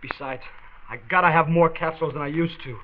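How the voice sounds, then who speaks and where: A man's voice: a little high, steady tone, brisk, lightly acted. An actor playing an anxious, hesitant teenage boy drawn into drug addiction in a 1940s radio drama. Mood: desperate. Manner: hesitant, uneasy. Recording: dull lo-fi old radio recording.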